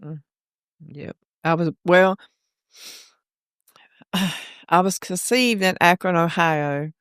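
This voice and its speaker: Smooth-voiced Southern woman, chatty. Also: on the low side, slow pace, fairly bright tone, dry recording, clean studio sound. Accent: Southern US, South Carolina. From South Carolina. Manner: chatty, laughs often, trails off searching for words. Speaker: guest, mother of a son in recovery. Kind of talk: casual podcast conversation.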